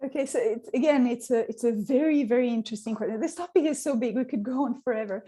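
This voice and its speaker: Mellow-voiced Swedish-born woman scientist. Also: fairly high pitch, quick pace. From Sweden. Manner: thoughtful, many restarts and fillers. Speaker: scientist guest answering questions. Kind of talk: online Q&A answer.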